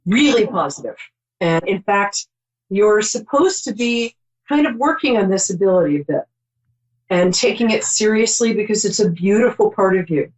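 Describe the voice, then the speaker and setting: A woman's voice: medium pitch, expressive, moderate pace, poor audio. A middle-aged woman hosting a call-in psychic show, chatty and reassuring, rambling with many ums.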